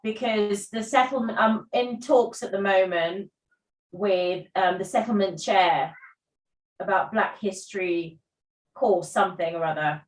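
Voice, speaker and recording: A woman's voice: mid-range voice, measured pace. Chatty woman chairing, heard from afar. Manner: chatty, laughs easily, loses her thread. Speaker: chair of the meeting. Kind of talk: casual meeting chat. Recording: roomy, distant from the mic.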